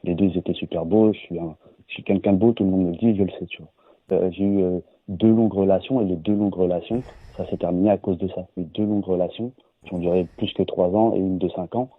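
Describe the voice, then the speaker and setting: Brief: low, husky male caller, earnest and halting, dry tinny phone line. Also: steady tone, no echo. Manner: earnest, halting, many fillers. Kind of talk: earnest personal confession.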